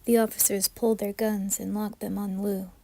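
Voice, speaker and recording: A woman's voice: medium pitch, level tone, normal speed, creaky, mellow. A woman reading scripted horror narration as a storyteller.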